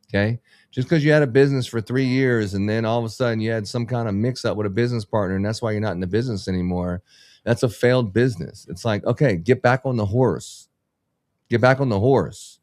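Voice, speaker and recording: A man's voice: lowish voice, brisk, darkish tone, dry recording, studio quality. A man who owns a business, talking blunt and rambling in a casual podcast chat and swearing freely as he gives advice. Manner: blunt, profane, rambling, motivational. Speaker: business owner and podcast host.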